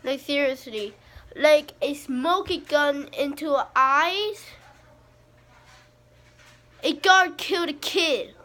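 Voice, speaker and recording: High-voiced young-sounding female reaction host, halting delivery. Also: pushed and bright. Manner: halting, repeats words. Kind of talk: casual reaction commentary. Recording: faint background noise.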